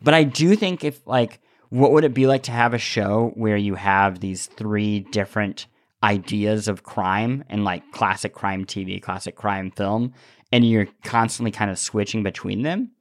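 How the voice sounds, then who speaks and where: A man co-hosting a podcast in casual discussion, thinking aloud with animated enthusiasm. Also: on the low side, normal speed. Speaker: podcast co-host.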